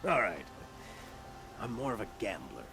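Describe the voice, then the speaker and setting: An actor voicing a shifty, blustering opportunist in a video game, with a sly, stammering salesman's patter and a gravelly edge. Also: lowish voice, sing-song, normal speed, over music. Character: shifty, blustering male opportunist merchant-gambler, bargaining and flattering. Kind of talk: video game dialogue acting.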